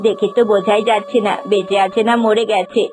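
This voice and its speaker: A woman's voice: medium pitch, level tone, fairly quick, music in the background, close to an everyday voice. Cartoon role: woman as a kind plant spirit, urgent mid-range voice. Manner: urgent, caring. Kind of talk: Bengali cartoon dubbing.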